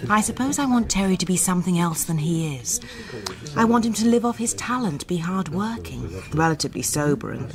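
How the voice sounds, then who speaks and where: An actress playing a frank, guarded young woman in a radio drama, understated and quietly sceptical. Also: fairly low pitch, lively intonation, fairly quick. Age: young adult. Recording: crowd chatter behind the voice.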